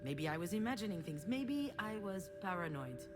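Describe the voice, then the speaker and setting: A woman's voice: medium pitch, sing-song, normal speed, music in the background, close to an everyday voice. A woman playing a wry, self-deprecating, guilt-ridden sister who confides in a conversational way in a narrative game. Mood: brooding, confessional. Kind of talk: introspective first-person narration and dialogue in a narrative game.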